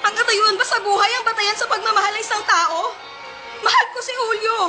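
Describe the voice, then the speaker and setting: A woman's voice: extremely high pitch, steady tone, a little fast. A young Filipino woman playing a devoted, defiant rich man's daughter in an amateur radio drama. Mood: tearful defiance. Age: young adult. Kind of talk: melodramatic radio drama acting. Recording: loud music under the voice.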